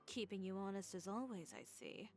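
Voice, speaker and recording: A woman's voice: mid-range voice, a little fast, faint music behind, lightly acted. An actress playing a poised, blunt young female fashion designer in a video game, composed and matter-of-fact with dry wit. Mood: cool, wry. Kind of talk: video game character dialogue.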